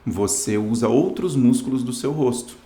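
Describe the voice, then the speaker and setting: A man's voice: medium pitch, normal speed, dark tone, echoey room. A Brazilian man in his thirties, a guest telling his story in an earnest, casual way, often adding "né". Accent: Brazilian Portuguese. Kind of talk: casual interview chat.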